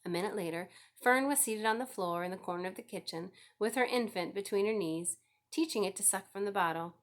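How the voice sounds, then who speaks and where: A woman's voice: mid-range voice, fairly quick. A woman narrating a children's book aloud as a scripted story reading. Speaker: audiobook reader.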